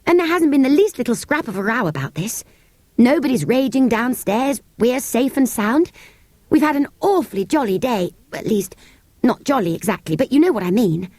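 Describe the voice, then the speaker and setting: A woman voicing an earnest, excitable Edwardian girl in a children's storybook, with an English Received Pronunciation accent and an eager, emphatic manner. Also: on the high side, normal speed, no echo, pitched above the performer's own voice. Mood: relieved, eager. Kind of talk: children's storybook character reading.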